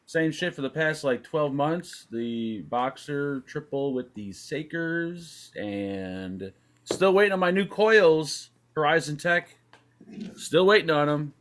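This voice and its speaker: A man's voice: fairly high pitch, fairly bright tone. Joking, rambling co-host man. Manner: joking, rambling, quick asides. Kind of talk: casual live-stream chat.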